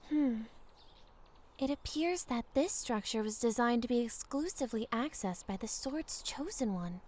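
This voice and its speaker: A woman playing a frustrated, headstrong, studious young princess with formal, haughty diction in a fantasy game dub. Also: a little high. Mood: pensive, determined.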